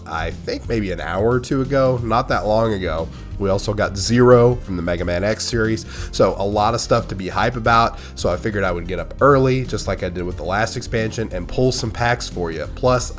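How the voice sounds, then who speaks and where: A man with a lowish voice, hoarse and rough because he is under the weather. He chats casually through a card pack opening and perks up at good pulls. Faint music plays softly behind him. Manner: chatty, excitable over good pulls. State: hoarse from a cold. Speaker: gaming YouTuber opening card packs. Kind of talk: casual gaming commentary.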